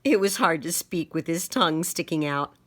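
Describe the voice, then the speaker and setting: A woman reading a children's picture book aloud in a dramatic, deliberate storytelling manner. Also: low voice, animated, fairly bright tone.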